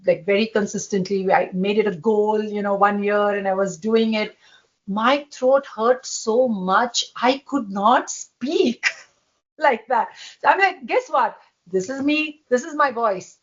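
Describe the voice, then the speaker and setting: A woman with a loud voice, talking as a podcast guest in an emphatic way and laughing often. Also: medium pitch, lo-fi sound. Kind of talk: casual conversation.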